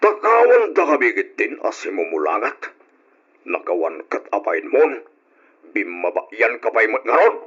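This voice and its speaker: Stern father, husky voice, medium pitch, reproachful, radio drama, muffled recording. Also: big pitch swings, unhurried, a bit bright, full character voice. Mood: angry accusing. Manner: reproachful, emphatic. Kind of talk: old-style Ilocano radio drama acting.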